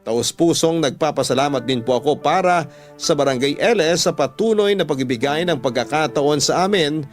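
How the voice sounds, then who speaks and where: A man's voice: on the high side, normal speed. A man with a Filipino accent narrating a listener's letter in the first person as a radio storytelling host. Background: music.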